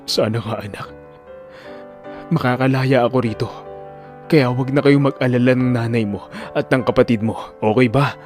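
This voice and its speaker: A man playing a gentle, reassuring middle-aged father who is in jail, in an audio drama. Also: mid-range voice, steady tone, moderate pace, long pauses, soft background music, voice pushed down, close to an everyday voice.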